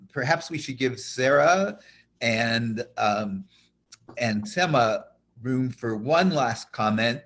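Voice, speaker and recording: A man moderates a video-call panel at an unhurried pace. He is courteous and tentative and fills his pauses with "um". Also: mid-range voice, fairly bright tone. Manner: polite, tentative, with fillers. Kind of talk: moderating a webinar.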